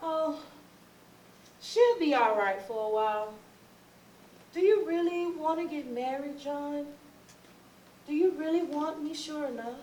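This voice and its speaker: A woman's voice: high voice, lively intonation, a little slow, pauses often, a little mellow. An actress playing a stubborn, suspicious mother in a staged reading, speaking folksy Southern dialect. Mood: hopeful, hesitant. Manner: folksy, colloquial, wavering between coaxing and fury. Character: Emma, stubborn, suspicious mother who stalls and rages. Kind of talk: staged readers theatre, Southern period dialect. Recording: echoey, distant, noisy stage recording.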